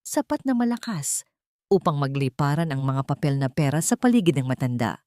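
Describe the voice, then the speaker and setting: A woman's voice: deep voice, big pitch swings, fairly quick, mellow, dry recording, clean studio sound. A woman reading a scripted story as a dramatic audiobook narrator.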